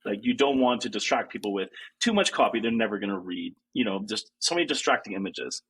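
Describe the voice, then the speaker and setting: Male guest, husky mid-pitched voice, easygoing chatter full of "like". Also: lively intonation, fast talker, fairly bright tone. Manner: chatty, leans on "like", laughs easily. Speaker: guest, ecommerce consultant. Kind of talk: casual podcast interview.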